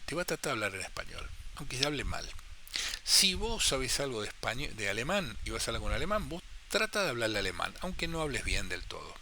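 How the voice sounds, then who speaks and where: A man with a Rioplatense Spanish accent talks through amateur radio in a loose, chatty teaching style. His voice is very bright and sharp. He repeats phrases for emphasis and voices the questions he expects from listeners, with a faint hiss behind him. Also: mid-range voice. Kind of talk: casual how-to talk.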